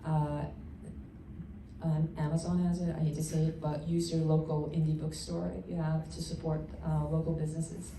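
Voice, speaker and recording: A woman author born in Turkey, answering audience questions on a panel in a halting, hesitant way. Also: low-pitched, little pitch movement, mellow. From born in Turkey, Uzbek. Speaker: panelist, children's book author. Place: panel session in a room. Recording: roomy, distant mic, faint noise.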